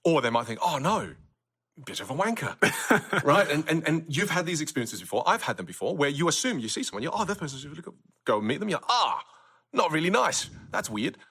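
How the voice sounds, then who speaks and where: Husky man explaining with lively swings. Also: on the high side, brisk. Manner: explanatory, acts out quoted reactions. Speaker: communication coach guest. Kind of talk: interview answers.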